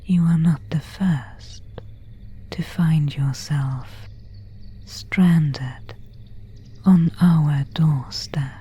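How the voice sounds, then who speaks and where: Slow whispering seductive vampire woman, acted by a woman. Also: low-pitched, dark tone, exaggerated voice. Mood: composed, eerie. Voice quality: breathy, unvoiced whisper. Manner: slow, formal, archaic, intimate phrasing. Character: pale, elegant vampire woman of an ancient family, seductive. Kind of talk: whispered ASMR roleplay.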